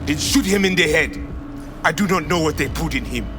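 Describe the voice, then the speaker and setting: Male actor as a video game gang enforcer, Haitian Creole accent, raised pitch, blunt and menacing, music behind. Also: a little fast, lightly acted. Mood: contemptuous. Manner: blunt, threatening, clipped grammar.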